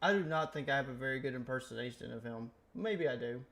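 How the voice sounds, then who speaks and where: A man's voice: medium pitch, fast talker, gravelly, a bit bright. A young man streaming a game, chatting casually and rambling in half-finished, self-correcting thoughts. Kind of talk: casual gaming stream chat.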